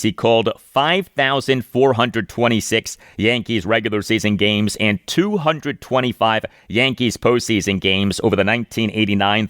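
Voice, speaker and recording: An American man delivers a solo sports podcast monologue in a mid-pitched voice. He phrases things deliberately and leans on key words like an announcer. The sound is dry and close. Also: fairly bright tone, no echo. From United States.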